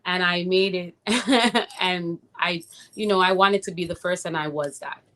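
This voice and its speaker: A young woman from Trinidad, a recording artist, answering interview questions in a relaxed way, pausing on "um" and laughing easily. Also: on the low side.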